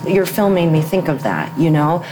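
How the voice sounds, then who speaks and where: A woman's voice: deep voice, sing-song, normal speed, poor audio. A woman, an English speaker, interviewing a filmmaker in a reflective, musing way, full of rhetorical questions. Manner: thoughtful, musing, many fillers and rhetorical questions. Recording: noticeable background noise.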